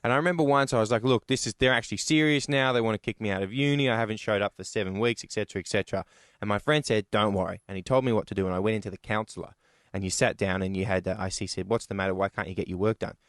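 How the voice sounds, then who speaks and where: A man's voice: on the low side, very fast, fairly bright tone, no echo, clean studio sound. A young man, a co-host, in offhand, casual comedy chat.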